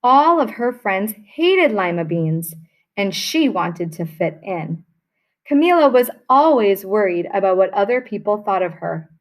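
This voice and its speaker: A woman teacher reading a children's picture book aloud to her class, telling it with expression. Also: mid-range voice. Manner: slow, expressive storytelling.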